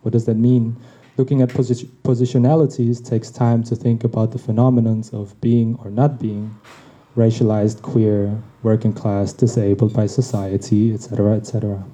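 Very mellow-voiced reflective man. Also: lowish voice, flat delivery, moderate pace. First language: Tagalog. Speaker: podcast host. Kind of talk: reading a personal essay. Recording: dull lo-fi recording.

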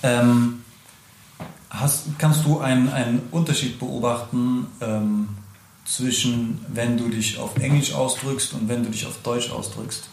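A man with a mid-range voice runs a friendly, unhurried interview, pausing often on fillers. His voice sounds roomy and echoey, with a faint hiss underneath. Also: mid-range voice, fairly even intonation, gravelly, darkish tone. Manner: friendly, hesitant with many fillers. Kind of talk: casual interview chat. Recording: echoey room, faint noise.

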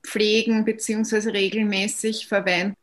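A woman's voice: mid-range voice, little pitch movement, moderate pace. A woman interviewing a guest on the radio, asking brief, attentive questions. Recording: loud music behind the voice.

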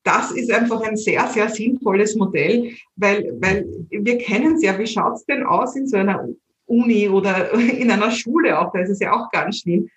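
A middle-aged woman with a Viennese accent, an entrepreneur and learning coach talking in an interview, lively and opinionated, often repeating her first words. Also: medium pitch, normal speed, reverberant, poor audio. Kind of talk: casual conversational interview.

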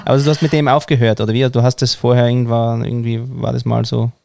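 A man's voice: medium pitch, level tone, fast talker, gravelly, warm tone, no echo, clean studio sound. A man interviewing a guest in a curious, encouraging, lightly joking manner. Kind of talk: casual conversational interview.

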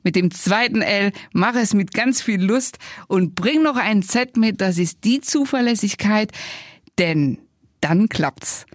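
A woman's voice: mid-range voice, lively intonation, slow, no room sound. Female podcast host, effusive and complimentary interview style, very dry recording. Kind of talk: conversational interview hosting.

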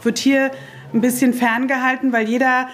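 A woman's voice: high-pitched, little pitch movement, moderate pace. Veteran woman daycare director, rambling. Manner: rambling, self-correcting, wry. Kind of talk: interview answers. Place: daycare centre.

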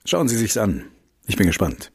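An actor playing a determined, disillusioned investigator in a political radio play, measured and dryly sardonic. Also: male voice, fairly low pitch, wildly swooping pitch, vocal fry, breathy edge, heavily acted. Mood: dry, knowing. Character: determined male investigator, disillusioned with corrupt state authorities.

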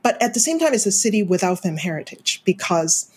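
Thoughtful woman with a husky voice. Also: mid-range voice, big pitch swings, brisk. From Hong Kong. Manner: thoughtful, restarts and hedges with "you know". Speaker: film studies scholar, interview guest. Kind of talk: academic interview conversation.

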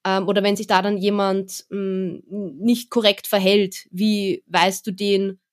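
A young woman speaking in a relaxed, chatty way as a guest, with plenty of small fillers. Her pitch sits in an ordinary middle range for a woman. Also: unhurried. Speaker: guest, event presenter. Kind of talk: casual interview talk.

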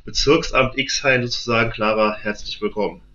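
Slightly muffled, halting male interviewer. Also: on the low side, fairly even intonation, bright, forceful tone, a little hiss or hum. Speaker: interview host. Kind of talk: casual, conversational interviewing. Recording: slightly dull, lo-fi sound.

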